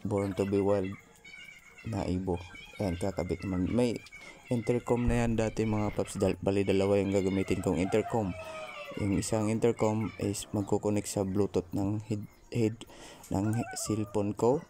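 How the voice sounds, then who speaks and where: A man's voice: on the low side, fairly even intonation, normal speed, over music. A man with a Filipino accent narrating a casual how-to vlog, talking informally to viewers as his buddies. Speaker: vlogger.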